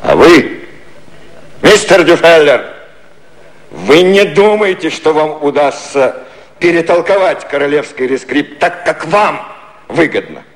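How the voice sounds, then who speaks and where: An actor playing a wily elderly British prime minister in a 1950s satirical radio play, ironic, declamatory and coaxing. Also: male voice, a little high, very animated, unhurried, pauses often, poor audio. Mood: triumphant, mocking.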